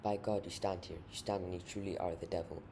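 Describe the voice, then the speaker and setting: A man's voice: deep voice, flat delivery, quick pace, creaky. A man voicing a frightened, God-fearing frontier reverend in a story, urgent and commanding with archaic phrasing. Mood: anguished. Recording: noisy amateur home recording.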